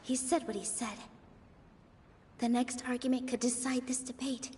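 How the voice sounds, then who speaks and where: A woman voicing a gentle young female dancer in a game, speaking in a soft, earnest way. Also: on the high side, fairly even intonation, moderate pace, a little hiss or hum, close to an everyday voice. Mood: worried.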